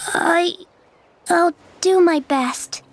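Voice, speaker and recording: High-voiced, timid young girl. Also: slight background noise, heavily acted. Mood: timid, grateful. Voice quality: small, childlike. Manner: hesitant, halting, pleading. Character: timid lost young girl, anxious and fragile. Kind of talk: dramatic video game voice acting. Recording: music behind the voice.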